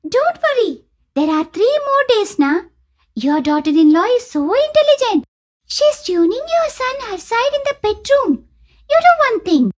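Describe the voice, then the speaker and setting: A woman voicing a small talking magic eggplant in a cartoon moral story, squeaky and childlike, pleading and eager to help. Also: sing-song, a little slow, exaggerated voice. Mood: helpful, matter-of-fact. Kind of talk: animated moral story dialogue.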